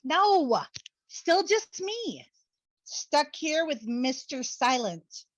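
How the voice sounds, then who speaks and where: A woman's voice: sits high, very animated, drawn-out words, no echo, lo-fi sound, exaggerated voice. A woman playing a gushing, needy and blunt young woman in a comedic play reading, with dramatic, exclamatory slang. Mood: lonely, complaining. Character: Brooklyn, gushing, needy, blunt young married best friend.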